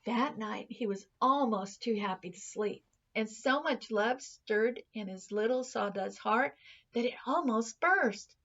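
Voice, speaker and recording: Creaky-voiced woman reading a storybook. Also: a little high, a little slow, fairly bright tone, lo-fi sound. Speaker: teacher reading a children's story aloud. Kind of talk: expressive storybook read-aloud narration.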